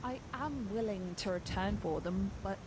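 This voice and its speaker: A woman voicing a guarded young female traveller in a fantasy video game, measured and halting with dramatic pauses. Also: medium pitch, noisy background, close to an everyday voice. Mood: uneasy recounting. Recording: game music behind.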